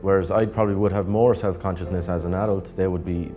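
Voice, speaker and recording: A man's voice: low voice, steady tone, fast talker. A man in his thirties, a music educator speaking earnestly in a studio interview. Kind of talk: interview answers. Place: studio with plain backdrop.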